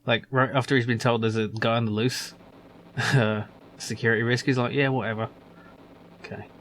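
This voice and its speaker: A man's voice: mid-range voice, level tone, a little fast, fairly bright tone, a little hiss or hum. Chatty man narrating a game. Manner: chatty, amused, self-deprecating. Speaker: let's play commentator. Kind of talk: casual gameplay commentary.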